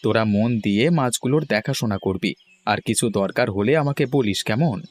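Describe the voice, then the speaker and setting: A man's voice: on the low side, a little fast, natural voice. A man voicing a friendly cartoon villager, talking in a familiar, colloquial way. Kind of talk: cartoon dialogue.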